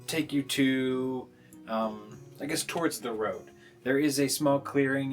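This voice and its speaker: Man game master over guitar music. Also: medium pitch. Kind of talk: narrating a live tabletop roleplaying game, casual. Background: plucked guitar music.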